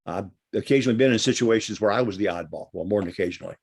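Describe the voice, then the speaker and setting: Slow, thoughtful man, low voice. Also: a little mellow, breathy edge.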